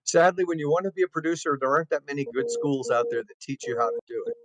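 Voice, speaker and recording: A man's voice: a little high, fast, noisy background. A man hosting a casual interview show, chatting with wry asides. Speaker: host.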